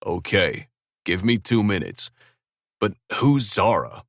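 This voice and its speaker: A man voicing Braxton, an easygoing young man trying to calm his brother down. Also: lowish voice, takes it slowly, dry recording, clean studio sound, full character voice.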